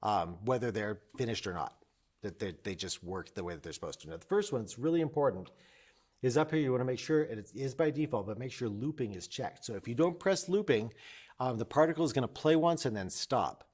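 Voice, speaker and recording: A man instructing in a casual screen-share tutorial, thinking aloud and explaining informally, often restating points to make them clear. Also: mid-range voice, expressive, a little fast, darkish tone. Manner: informal, explanatory, repeats himself to clarify.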